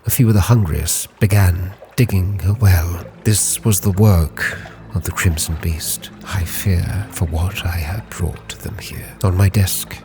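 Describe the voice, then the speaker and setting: A man's voice: low-pitched, steady tone, a little slow. A man giving solemn, brooding, theatrical first-person narration for a roleplay story. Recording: prominent music behind the voice.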